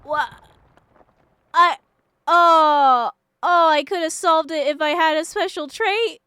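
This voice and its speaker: A woman's voice: very high voice, slow, pushed and bright, dry recording. A woman game streamer giving blunt, jokey, sweary commentary and reading story narration aloud in a casual way.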